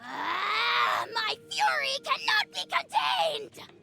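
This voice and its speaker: An actor voicing a tiny, arrogant dragon lord in a video game, pompous, taunting and quick to anger, with a shrill gravelly voice. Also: male voice, huge pitch swings, shouting, over music, exaggerated voice. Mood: furious. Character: tiny arrogant dragon lord, bombastic, spiteful and easily enraged. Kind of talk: video game character voice lines.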